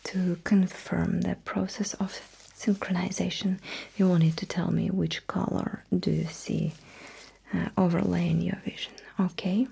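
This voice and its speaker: Slow whispering ASMR woman, soft and breathy. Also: on the low side, level tone. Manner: soft, reassuring, instructive. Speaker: ASMR roleplay performer as a sci-fi technician. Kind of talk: ASMR roleplay.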